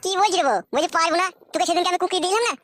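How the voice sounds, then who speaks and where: A performer voicing an exasperated, crude village husband in a comedy cartoon, a pitched-up, sped-up voice and a blustering, coaxing manner. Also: racing, fairly bright tone, a little hiss or hum. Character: exasperated, crude village husband caught between two wives.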